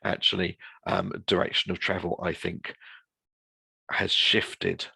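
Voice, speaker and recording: Male webinar presenter: deep, creaky voice, informal, wandering delivery with repeated phrases. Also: flat delivery, slow, sharp tone, no room sound. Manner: hedging, discursive, repeats phrases. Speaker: guest presenter on employability.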